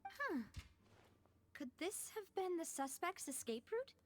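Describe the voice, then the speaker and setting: A woman's voice: extremely high pitch, big pitch swings, takes it slowly, full character voice. A woman playing an earnest, inquisitive young female investigator in an anime video game, speaking in an articulate, theatrical manner.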